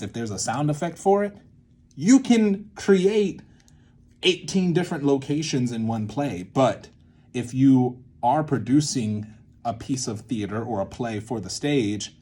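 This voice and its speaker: A young man explaining in a casual, halting way, thinking aloud and often repeating and checking himself. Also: medium pitch, mellow, slightly rough. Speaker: creator teaching a creative method.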